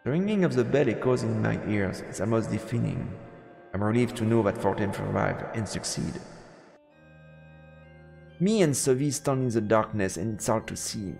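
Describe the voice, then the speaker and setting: A man narrating a visual novel as a streamer, in a measured, dramatic storytelling style with deliberate pauses. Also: fairly low pitch, animated, vocal fry, a little mellow. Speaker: let's play streamer narrating. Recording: echoey, long reverb, music behind. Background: background music.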